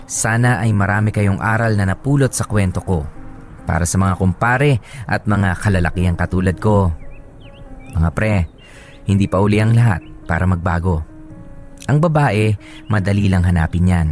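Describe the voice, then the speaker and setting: A man's voice: on the low side, moderate pace, long pauses, natural voice. A man playing a remorseful former womanizer, narrating his confession letter earnestly in a radio drama. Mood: earnest advice. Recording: music behind the voice.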